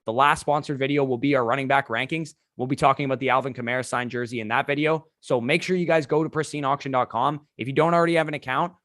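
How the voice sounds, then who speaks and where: Host, male, hoarse from losing his voice, rapid-fire delivery, dry mic. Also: mid-range voice, a bit bright, no room sound. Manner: fast, run-on analysis with restarts. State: hoarse, lost voice. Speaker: fantasy football podcast host. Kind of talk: solo fantasy sports recap.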